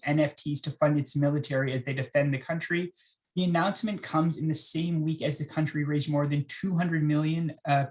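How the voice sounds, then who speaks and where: A man hosts an interview program. He reads out the news of the day and then puts questions to his guest in a loose, conversational way. His voice sits somewhat high for a man. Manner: conversational, with frequent fillers. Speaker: interview host. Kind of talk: news-style interview hosting.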